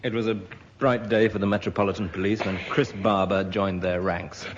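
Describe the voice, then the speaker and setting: A man's voice: fairly low pitch, fairly even intonation, fairly quick. A man, a comedy panellist improvising a comic story. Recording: dull radio recording, noise and audience.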